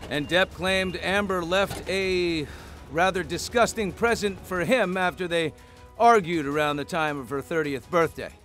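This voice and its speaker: Higher-pitched male news narrator. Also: fairly bright tone, soft background music. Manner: brisk, punchy delivery. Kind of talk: scripted news voice-over.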